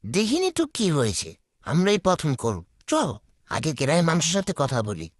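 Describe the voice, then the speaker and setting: A woman's voice: deep voice, huge pitch swings, brisk, full character voice. A woman voicing a determined, inventive village boy in a cartoon. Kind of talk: cartoon voice acting.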